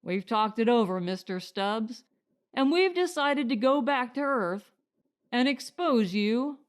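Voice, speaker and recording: A woman's voice: on the high side, expressive, takes it slowly. A woman voicing a principled, blunt husband in an audiobook, speaking firmly and pointedly. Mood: resolute. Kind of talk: audiobook character dialogue.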